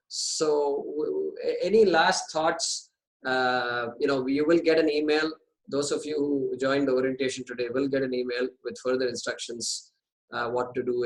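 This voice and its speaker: Halting man hosting a video call. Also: medium pitch, rough recording. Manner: halting, many fillers and restarts. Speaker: course organizer and host. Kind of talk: casual video call talk. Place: home in India.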